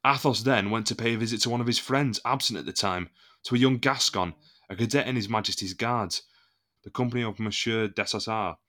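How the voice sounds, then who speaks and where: An actor playing a proud, indignant musketeer captain in an audio drama, formal and oratorical. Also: male voice, lowish voice, brisk, sharp tone. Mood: pointed, courtly.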